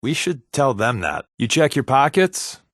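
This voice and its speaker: A man's voice: mid-range voice, sing-song, measured pace, no room sound, clean studio sound. A man voicing a wisecracking, guarded undercover agent companion in a video game, with a wry, sarcastic, flippant delivery.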